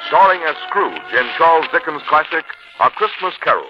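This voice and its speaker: A man's voice: on the high side, big pitch swings, normal speed, music in the background. A man doing formal, polished, scripted 1950s radio commercial announcing. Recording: muffled 1950s radio recording.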